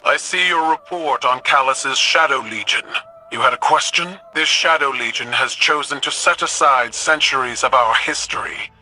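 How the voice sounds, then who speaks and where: Sharp, imperious alien military commander. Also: male voice, medium pitch, low-quality recording. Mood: stern, scornful. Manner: formal, measured, imperious. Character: stern, proud alien military commander of an empire. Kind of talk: scripted game dialogue.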